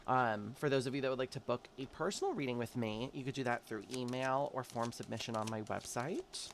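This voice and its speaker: A man's voice: medium pitch, fairly quick, a little hiss or hum. A man giving a chatty tarot forecast, stuffy and congested from allergies, talking straight to his viewers. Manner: chatty, warm-hearted, talks straight to viewers. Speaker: tarot reader. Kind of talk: casual monthly tarot forecast.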